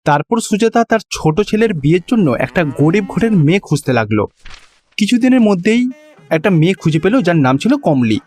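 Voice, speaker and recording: A man's voice: sits high, very animated, fairly quick, a little mellow. A man narrating a scripted cartoon story as its storyteller.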